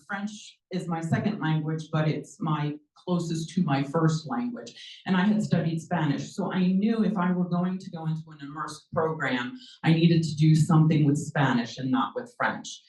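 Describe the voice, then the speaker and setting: A woman's voice: sits low, dark tone, recorded from a distance. A middle-aged woman presenting a lecture on learning, chatting along with many ums and acting out little dialogues as a language teacher. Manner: conversational, many ums, acts out quoted dialogue. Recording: echoey room, mic from afar.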